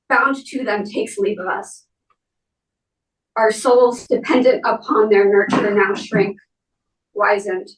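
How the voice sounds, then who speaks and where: A woman speaking at a memorial, reading and talking in measured, deliberate phrases with pauses. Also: fairly high pitch, a bit bright. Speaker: speaker giving a eulogy for their parent. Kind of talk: memorial speech and poem reading. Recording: roomy echo, distant mic.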